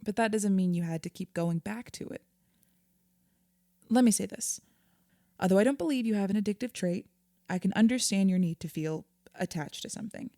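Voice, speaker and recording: A woman acting in an audio drama as a calm, patient therapist, speaking in a measured, reassuring, conversational way. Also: medium pitch, dry recording, studio quality, natural voice.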